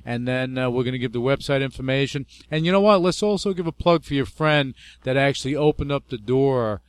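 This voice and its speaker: A man's voice: mid-range voice, brisk, clean tone, no room sound. A man hosting an interview, chatty and enthusiastic, laughing often. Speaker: interview host. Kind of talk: casual interview talk.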